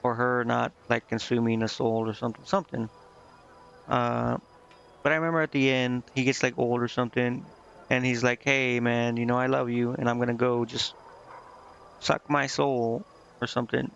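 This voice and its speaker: A man's voice: medium pitch, level tone, moderate pace, a bit bright. A man giving casual game stream commentary in a hesitant, vague, meandering way. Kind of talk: casual rambling game stream commentary, reading dialogue aloud. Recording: dull lo-fi mic with clear background noise.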